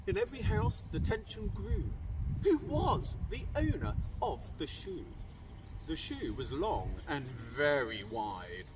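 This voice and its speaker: A British man tells a rhyming children's story with theatrical emphasis. His high-set voice rises and falls widely, and he takes his time. The microphone is a little distant. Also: big pitch swings, takes it slowly. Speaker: primary school teacher reading aloud. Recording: distant microphone, faint background noise.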